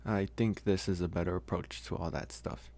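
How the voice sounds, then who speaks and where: A man's voice: deep voice, fairly even intonation, normal speed, gravelly, darkish tone. A man who voice acts, talking casually to his listeners as host in an out-of-character outro. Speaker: voice actor and channel host.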